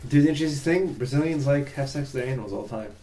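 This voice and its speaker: A man's voice: medium pitch, racing, a loud crowd. A man playing a tabletop game, rambling in casual chat with lots of fillers. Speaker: player.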